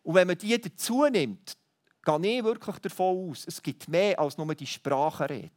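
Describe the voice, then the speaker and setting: A man's voice: sits high, moderate pace. A man preaching a sermon, earnest and teaching, thinking aloud with small restarts. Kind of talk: sermon to a congregation.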